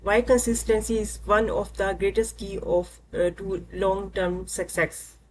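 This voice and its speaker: A woman from Pakistan, a co-host who reads in a measured, slightly halting way. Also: mid-range voice, steady tone, background noise.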